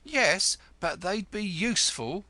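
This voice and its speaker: A man voicing a shrill, sharp-tongued landlady who scolds her lodger. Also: lively intonation, slow, dry recording, heavily acted. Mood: scolding.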